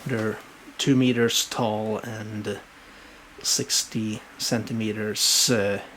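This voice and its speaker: A man with a Swedish accent, whose first language is Swedish, casually showing his bookshelves at home and talking in halting bursts with many fillers. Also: fairly low pitch, level tone, fairly bright tone, slight background noise. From Sweden. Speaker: book collector showing his shelves. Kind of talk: casual home video walkthrough. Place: home room.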